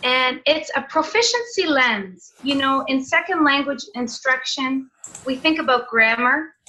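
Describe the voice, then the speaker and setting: Canadian woman, teacher trainer on a video call; bright, fairly high, friendly explainer. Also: animated, unhurried, rough recording. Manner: friendly, explanatory, with filler sounds. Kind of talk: online seminar presentation.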